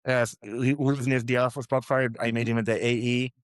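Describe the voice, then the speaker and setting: A man from Israel, speaking as a founder guest, with a smooth, resonant voice at a middle pitch. He tells animated stories, full of fillers and restarts. The sound is close and dry. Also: moderate pace, dry recording. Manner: animated anecdotes with fillers and repeated words. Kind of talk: conversational storytelling.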